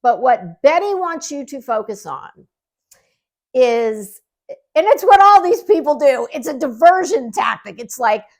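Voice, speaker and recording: A woman's voice: high-pitched, sing-song, unhurried. A woman giving casual, blunt online drama commentary in a sarcastic, mocking and emphatic manner, with some swearing. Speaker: commentary channel creator.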